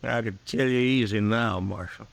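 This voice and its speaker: An actor playing a taunting Old West outlaw in old-time radio style, unhurried and menacing. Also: male voice, fairly low pitch, vocal fry, no echo.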